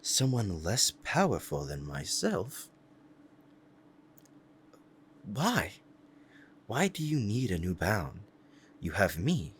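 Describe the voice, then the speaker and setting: A man's voice: fairly low pitch, huge pitch swings, takes it slowly, a little hiss or hum, exaggerated voice. A man playing a powerful, proud demon devoted to a master, speaking in a formal, courtly and menacing way in an ASMR roleplay drama. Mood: jealous, disbelieving.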